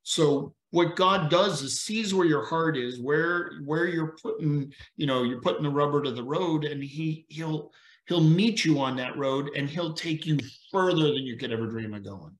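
A man's voice: fairly high pitch, normal speed. A middle-aged man, a retired police officer, speaking as a podcast guest over a video call, telling stories in an earnest, preachy way. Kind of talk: casual conversation. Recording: roomy echo on a video call.

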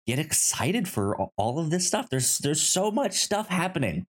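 A man hosting a podcast in a casual, chatty style, sometimes halting and repeating words as he searches for phrasing. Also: on the high side, big pitch swings. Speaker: podcast host.

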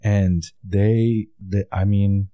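A man's voice: on the low side, flat delivery, very slow, mellow, no room sound. A man from Wisconsin with a Wisconsin accent, hosting a casual interview and telling stories in an easygoing way. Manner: easygoing storyteller. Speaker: podcast host.

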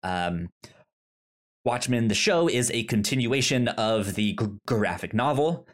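A podcast co-host chatting casually, speaking haltingly with frequent restarts. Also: mid-range voice, lively intonation. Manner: halting, many fillers and restarts. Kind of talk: casual chat.

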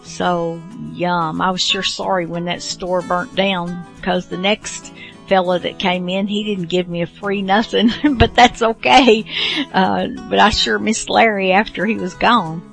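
A woman host chats casually and ramblingly to her listeners. Her folksy voice has a lot of creak at a middling pitch. Faint plucked guitar plays behind her. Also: steady tone, unhurried. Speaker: show host. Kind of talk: casual solo chat to listeners. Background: faint plucked guitar music.

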